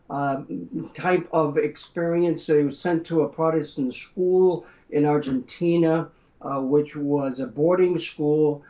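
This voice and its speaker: An older man with an American accent tells his story in an interview, his voice pitched a bit high and his manner thoughtful and measured. The recording is roomy, with a dull, muffled tone. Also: warm tone. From Brazil. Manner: measured, many uh fillers, reflective storytelling. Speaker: guest author. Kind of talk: interview conversation.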